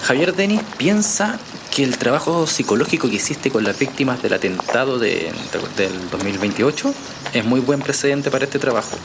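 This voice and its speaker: A man's voice: mid-range voice, expressive, moderate pace, natural voice. Easygoing Chilean journalist played by a man, ambient noise. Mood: earnest. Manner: casual, hesitant, explanatory. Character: easygoing male journalist, hesitant but convinced researcher. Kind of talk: naturalistic audio drama acting.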